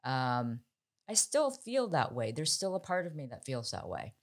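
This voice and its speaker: American lady in her 50s, pretty deep voice, hosting a chat show, candid and emphatic. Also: big pitch swings, normal speed. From Ohio, American Midwest. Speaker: talk show host and journalist. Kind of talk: conversational panel discussion.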